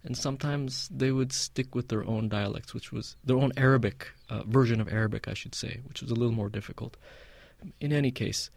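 A man of Palestinian background, a guest in an interview, telling stories in a measured, reflective way with frequent fillers. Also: medium pitch, fairly even intonation, creaky, a little mellow, dry recording. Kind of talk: conversational interview.

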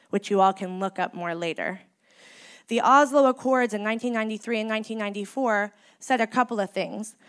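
Emphatic woman organizer giving a speech. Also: medium pitch. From San Francisco Bay Area, United States. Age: 30s. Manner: measured, emphatic delivery with deliberate pauses. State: in mourning. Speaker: community organizer. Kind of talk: impassioned speech.

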